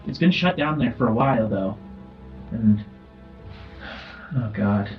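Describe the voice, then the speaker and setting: A man's voice: on the low side, expressive, a little slow, muffled recording. A man voicing a nervous, blunt data analyst in a tabletop game, hesitant and dry. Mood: uneasy, dry. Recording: music under the voice.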